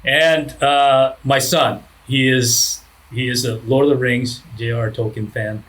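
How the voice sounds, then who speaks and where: A man's voice: medium pitch, steady tone, a little slow, a bit bright, poor audio. A man, talking in a rambling, self-deprecating, conversational way as an interview guest. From Hawaii. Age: 60s. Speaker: surfboard shaper, podcast guest.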